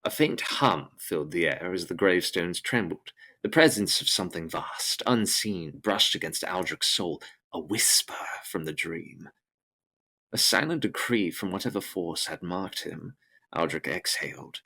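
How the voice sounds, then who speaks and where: A man's voice: low voice, expressive, unhurried, bright, forceful tone. A man narrating a gothic audio drama as scripted, with grave, dramatic phrasing.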